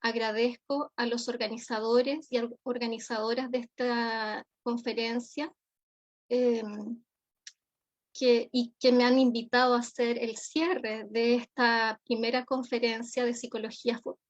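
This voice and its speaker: A woman with a Chilean accent delivering a formal keynote lecture as a psychologist, in measured, solemn, deliberate phrasing. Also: on the high side, level tone. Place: remote online conference. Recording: dull, lo-fi audio.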